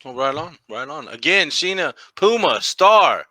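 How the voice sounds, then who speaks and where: A man in his thirties interviewing a fighter, relaxed and agreeable. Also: fairly high pitch, a little slow, dry recording. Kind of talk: casual interview.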